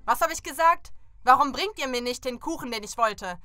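A woman's voice: animated, brisk, pushed and bright, clean tone, no echo, clean studio sound, soft background music. A woman voicing a bratty, harsh young princess in a cartoon fairy tale, barking commands at servants. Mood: angry, demanding. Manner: shrill, commanding, barking orders.

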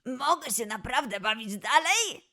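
A woman voicing a playful young male lion cub, eager and excitable, in a children's audio play. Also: fairly high pitch, a little slow.

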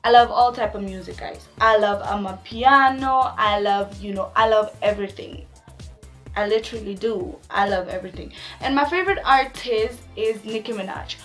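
A woman in her twenties with a Namibian accent, chatting casually to viewers in a vlog with animated slangy asides. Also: medium pitch, normal speed, soft background music. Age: 20s. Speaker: YouTuber.

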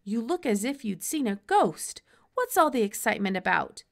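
A woman's voice: fairly high pitch, very animated, moderate pace, vocal fry. A woman voicing a blunt, folksy farm father in a storybook, with an exclamatory way of talking. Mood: startled, excited.

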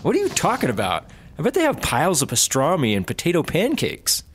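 An actor playing a carefree, shameless, food-loving cop in a comedy audio drama, casual and excitable. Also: male voice, on the high side, fairly quick, a little hiss or hum. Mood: curious.

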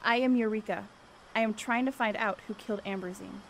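A woman's voice: medium pitch, steady tone, fairly quick, creaky, natural voice. A woman playing a determined young woman seeking the truth in ancient Greece, speaking boldly and earnestly in an audio drama. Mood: defiant. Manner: bold, earnest, declamatory. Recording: faint background noise.

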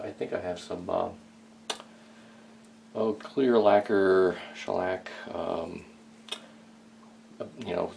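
Male hobbyist, low creaky voice, hesitant and casual workshop talk, noticeable background noise. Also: dark tone. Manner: hesitant, thinking aloud. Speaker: hobbyist restorer, video host. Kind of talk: casual hands-on workshop chat. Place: small indoor home workshop.

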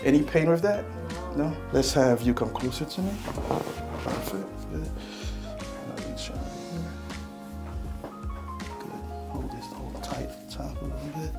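A man's voice: a little high, animated, a little slow, long pauses, over loud music. Reassuring man, a chiropractor at work. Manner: reassuring, checking in often. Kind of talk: casual talk while treating a patient. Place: small chiropractic treatment room indoors.

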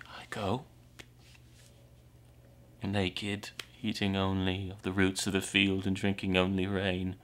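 Man acting a slow, anguished mad prophet. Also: deep voice, fairly bright tone, a little hiss or hum, exaggerated voice. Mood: desolate. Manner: slow, brooding, swinging between breathy whispers and ringing cries. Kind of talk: theatrical dramatic monologue.

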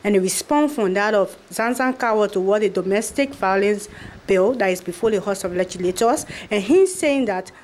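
A woman reporter puts plain, direct questions to a panel. Her voice sits at a medium pitch. She speaks at an even, businesslike pace. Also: normal speed, slight background noise. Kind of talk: press question.

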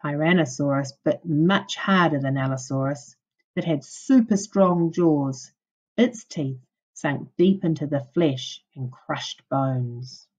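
A woman primary teacher reading a non-fiction book aloud to young children, with clear, instructive phrasing. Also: low-pitched, takes it slowly, a little mellow, lo-fi sound.

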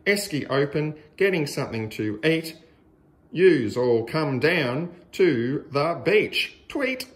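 An Australian man reading a picture book aloud to young children in a deliberate, sing-song way with exaggerated emphasis. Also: fairly high pitch, sing-song.

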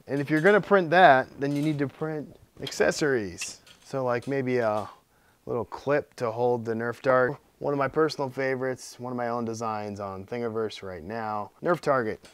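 A man's voice: mid-range voice, noisy background. A man in his thirties with a cold, casually showing off 3D-printed gifts in his home workshop, rambling with lots of ums. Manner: relaxed, rambling, with many ums and uhs. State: getting hoarse from a cold. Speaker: 3D printing YouTuber. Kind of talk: casual show-and-tell.

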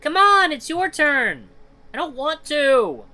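A man's voice: very high-pitched, sing-song, takes it slowly, pushed and bright, pitched above the performer's own voice, heavily acted. A man voicing a young child in a story, jeering and whining like a playground squabble. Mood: taunting, whiny. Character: taunting, whining young children daring each other.

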